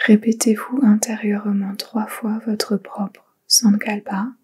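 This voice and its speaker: A woman leading a guided yoga nidra relaxation in a soft, breathy, whispery voice, giving gentle, soothing instructions with pauses. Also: mid-range voice, level tone.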